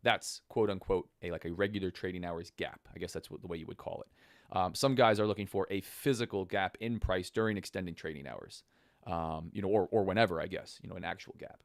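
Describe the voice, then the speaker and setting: Livestream host, male, low hoarse rasp like a smoker's, informal and buddy-like, recorded close and dry. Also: brisk, no echo. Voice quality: raspy smoker's voice, partly lost. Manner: informal, buddy-like address to viewers. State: hoarse, voice half gone. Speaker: host of a live trading stream. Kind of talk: casual livestream chat, explaining to viewers.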